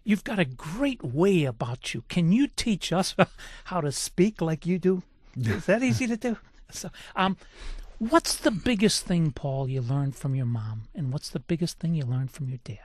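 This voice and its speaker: A man's voice: fairly high pitch, animated, unhurried, no echo. A man hosting a radio interview show, introducing and questioning his guest with enthusiasm. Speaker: radio talk show host.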